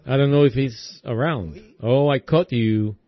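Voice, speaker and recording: A hoarse man preaches a sermon at an unhurried, measured pace, stopping often between short phrases. His pitch sits in an ordinary middle range. The recording is dull and lo-fi. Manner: deliberate, halting phrasing with many pauses.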